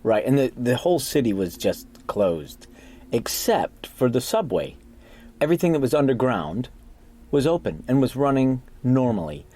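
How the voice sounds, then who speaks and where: A man's voice: mid-range voice, very animated, normal speed, warm tone. A man, an actor being interviewed, talking in an anecdotal storytelling manner. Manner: storytelling, acts out quotes. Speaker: actor interviewed about his part.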